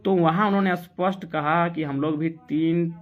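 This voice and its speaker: Insistent Jharkhandi man, higher voice, dull audio. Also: steady tone, normal speed. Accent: Jharkhandi Hindi. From Jharkhand, India. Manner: insistent, argumentative, full of rhetorical questions. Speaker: political activist. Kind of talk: impassioned political talk on a live stream, answering comments. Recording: dull, lo-fi sound.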